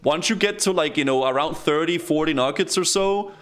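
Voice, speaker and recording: A man from Denmark, chatting excitedly with his viewers while he streams a game. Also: a little high, a little fast. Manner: excitable, chatty, talks straight to chat. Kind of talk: casual live-stream commentary.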